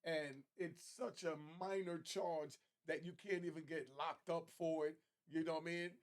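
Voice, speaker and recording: A man's voice: fairly high pitch, steady tone, moderate pace. A middle-aged man giving casual, slangy commentary as a streetwise host who explains things conversationally.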